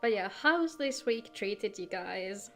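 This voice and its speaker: A woman's voice: medium pitch, expressive, takes it slowly, fairly bright tone. A woman, chatting casually with viewers as she livestreams a game. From Finland. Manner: chatty, greets viewers by name. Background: in-game music.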